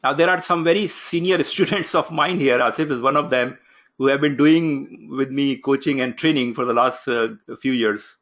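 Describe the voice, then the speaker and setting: A man opening an online course from his home office, giving instructions briskly and calling on participants one by one. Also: mid-range voice, a bit bright, muffled recording. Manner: brisk, instructive, takes roll call. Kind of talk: live online class introduction. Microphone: video call.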